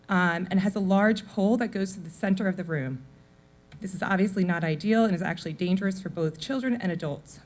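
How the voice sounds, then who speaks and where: A woman in her forties, a mother of four, briskly reads a prepared public comment at a town meeting, running through lists of needs. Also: medium pitch, darkish tone. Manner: brisk, reading from notes with list-like delivery.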